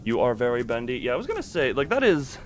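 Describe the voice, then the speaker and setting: Man, mid-pitched, very lively intonation, casual rambling streamer chat, clear noise behind. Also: quick pace. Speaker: game streamer, host. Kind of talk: casual livestream chat with gameplay commentary.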